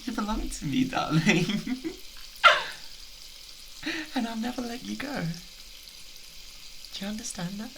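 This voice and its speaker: A man with a British accent playing an obsessive, unhinged boyfriend, doting and menacing, in a halting, intimate ASMR roleplay. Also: sits high, vocal fry, noisy background. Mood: adoring, manic. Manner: halting, giggly, theatrically coaxing.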